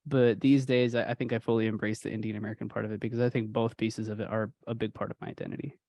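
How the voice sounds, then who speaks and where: A man's voice: lowish voice, very fast, dry recording. Young man from Houston, podcast guest, casual and reflective, dry close mic. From Houston, Texas. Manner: relaxed, uses many fillers like "you know". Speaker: podcast guest, sound engineer.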